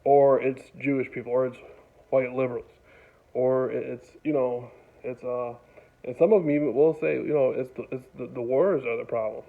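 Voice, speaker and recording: A man's voice: medium pitch, fairly even intonation, gravelly. Guy talking off the cuff about local news, rambles and repeats himself, thin phone-like sound. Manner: rambling, halting, repeats phrases. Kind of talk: unscripted casual monologue. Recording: phone-like, narrow tinny sound.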